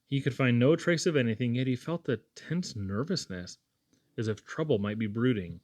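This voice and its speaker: Male audiobook reader, scripted story narration, medium pitch. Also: lively intonation, normal speed, a little mellow.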